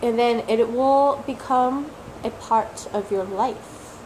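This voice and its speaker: Woman, English not her first language, very slow deliberate pace, repeats words for emphasis, noisy background. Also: a little high, a little mellow. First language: not English; English is her third language. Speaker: tarot reader. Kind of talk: slow reflective monologue.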